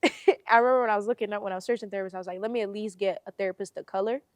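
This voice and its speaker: A young woman from Sudan, a podcast guest, telling her story in a casual chat, animated and laughing as she quotes people. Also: medium pitch, racing, no echo.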